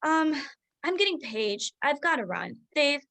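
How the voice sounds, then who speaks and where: Woman acting high-voiced, animated, defensive doctor mother. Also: unhurried, dry recording, exaggerated voice. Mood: indignant, defiant. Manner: forceful, insistent, indignant. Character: defensive, stressed doctor mother of a struggling third grader. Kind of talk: staged reading of a contemporary drama.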